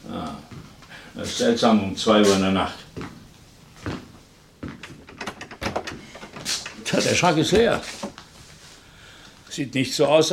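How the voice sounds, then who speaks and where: A man playing a measured, probing police inspector in a German radio crime play, musing aloud with hums and pauses. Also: mid-range voice. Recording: hissy old radio play recording.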